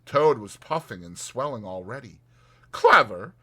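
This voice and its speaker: A man narrates a classic story in dramatized audiobook style. His delivery is bright and forceful, with hugely varied, expressive intonation. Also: mid-range voice, unhurried.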